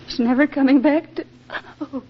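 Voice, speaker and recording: A woman's voice: high voice, fairly even intonation, normal speed, slight background noise, natural voice. Actress playing a lovelorn young woman, old muffled radio drama. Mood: grief-stricken. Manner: earnest, emotional, melodramatic delivery. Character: lovelorn, anguished young woman awaiting her soldier sweetheart. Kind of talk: 1940s radio drama acting. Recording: muffled vintage radio recording with music.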